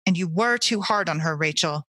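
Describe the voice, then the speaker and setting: Woman reading strict but fair older lady, slow and serious, dry close sound. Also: on the low side, sharp tone, dry recording, voice pushed down. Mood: firm.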